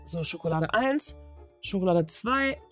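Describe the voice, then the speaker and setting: A woman's voice: fairly low pitch, lively intonation, measured pace, a bit bright, soft background music, voice pushed down. A woman voicing a polite, attentive café server in improvised doll-play storytelling. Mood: obliging. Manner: courteous service talk.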